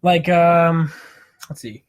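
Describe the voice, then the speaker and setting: A teenage guy hosting a homemade podcast. His voice sits a little high for a man, and he jumps between quick, excited bursts and teasing questions. It sounds like casual chat with a friend. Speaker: podcast host. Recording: amateur home podcast mic.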